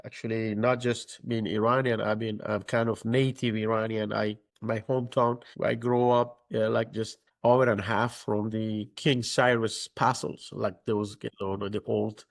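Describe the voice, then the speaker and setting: Halting Persian-accented man, gravelly voice. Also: on the low side, little pitch movement, no room sound. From Iran. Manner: halting, searching for words, many fillers. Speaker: pastor and guest. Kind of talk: interview answers.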